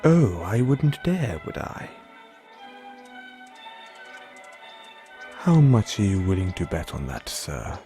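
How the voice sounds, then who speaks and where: A man playing a polite, doting and gently teasing butler in an audio roleplay, speaking in a formal, measured way. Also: deep voice, heavily acted. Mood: teasing, deferential.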